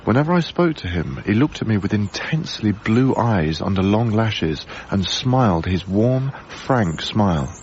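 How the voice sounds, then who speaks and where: An actor playing a friendly young lodger in a radio drama, gentle, hesitant and chatty. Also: male voice, on the low side, expressive, moderate pace, hardly any pauses, muffled recording, a little hiss or hum, close to an everyday voice. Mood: reflective. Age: young adult. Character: mild, lonely, friendly young male lodger. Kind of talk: naturalistic radio drama acting and first-person narration.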